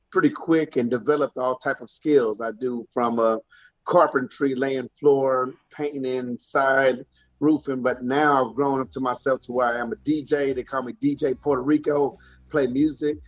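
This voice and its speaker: A man introducing himself in a relaxed, hesitant way with many ums. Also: medium pitch. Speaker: guest, DJ. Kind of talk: casual interview chat. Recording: dull lo-fi sound.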